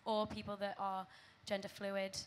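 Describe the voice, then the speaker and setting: A woman moderating a panel, asking questions gently with some hesitation. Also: mid-range voice, completely flat delivery, normal speed, slight background noise. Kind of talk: panel discussion.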